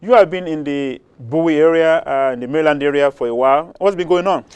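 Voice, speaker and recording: A man's voice: a little high, a little fast, darkish tone, clear, resonant tone, dry recording. A man hosting a studio talk show, asking questions with many hesitations and fillers. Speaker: host.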